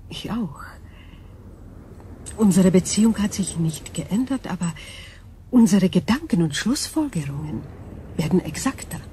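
An actress voicing an ancient, logical female crystal being in a radio drama, speaking in a measured, formal and deliberate way. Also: fairly low pitch, lively intonation, dark tone. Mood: measured, reassuring. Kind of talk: German radio drama acting. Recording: clear background noise.

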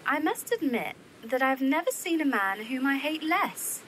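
Lady acting worldly big sister in period drama, poised, protective, really animated voice, a bit high. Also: moderate pace, a little hiss or hum, low-quality recording. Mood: confiding. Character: poised, protective, worldly elder sister, young Victorian widow.

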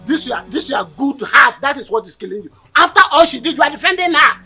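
An actor with a Nigerian accent playing an angry husband who threatens and scolds. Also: male voice, extremely high pitch, expressive, fast talker, pushed and bright, faint music behind, heavily acted. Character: angry husband defending his wife.

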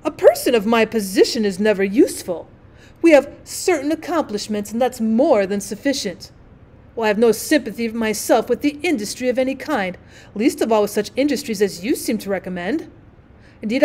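A woman's voice: fairly high pitch, expressive, moderate pace, darkish tone, natural voice. A woman voicing a vain male firework rocket in a storybook, with a haughty, boastful, declamatory manner. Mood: haughty, disdainful.

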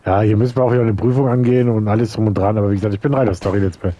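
A man's voice: fairly low pitch, steady tone, very fast, warm tone. A man in his thirties from Germany giving rambling, offhand commentary while playing a story game. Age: 30s. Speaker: let's player. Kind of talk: casual gameplay commentary.

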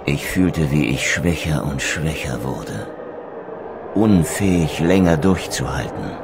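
An actor playing a grim, vengeful undead wraith in a game, solemn and deliberate. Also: male voice, extremely low pitch, expressive, background noise, full character voice. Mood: somber dread. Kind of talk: dramatic German game narration and dialogue. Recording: music behind.

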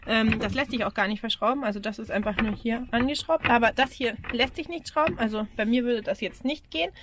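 A woman's voice: a little high, fairly quick, background noise. A woman in her forties with an Austrian accent, talking casually and frankly to the camera in a camper van, with frequent ums and small laughs. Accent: Austrian German. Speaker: vlogger. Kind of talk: casual vlog walkthrough. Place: in and around a camper van outdoors. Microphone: action camera held at arm's length.

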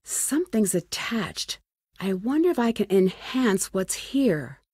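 A curious young American sleuth from an adventure game. She thinks aloud in short, wry, plucky remarks. The voice sits at a medium pitch and is recorded dry and close. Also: very animated, slow, a little mellow, no echo, heavily acted. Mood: curious, focused. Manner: wry, self-talking, crisp short remarks. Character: curious, plucky young female amateur detective. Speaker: voice actor in a detective adventure game. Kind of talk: video game character lines.

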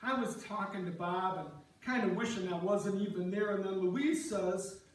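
A man playing a warm, rambling husband who reminisces in an amateur reader's theater performance, recorded far off in a church. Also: high voice, moderate pace, lightly acted. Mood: fond, amused. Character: warm rambling husband Wally, reminiscing about meeting his wife. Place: on stage in a church, far camera. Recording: echoey church hall, distant mic, noisy.

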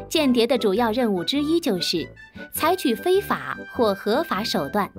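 A woman reading an explanatory segment for children in a bright, instructive manner. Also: on the high side. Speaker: knowledge-segment narrator. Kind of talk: scripted explanatory narration. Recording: children's music underneath.

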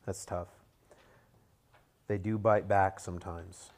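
Male riding coach, thirties, lively and joking, lecturing a room, distant camera sound. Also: low-pitched, slow pace. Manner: energetic, joking, talks with hands at a whiteboard. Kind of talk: classroom motorcycle clinic lecture. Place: conference room with a group around tables. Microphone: camera at the back of the room, no visible mic.

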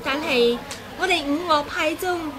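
An actress with a Hong Kong Cantonese accent playing a bold, quick-witted young swordswoman in an old radio drama, cheeky and defiant. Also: high voice. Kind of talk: 1980s radio drama acting. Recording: old radio broadcast with music and crowd behind.

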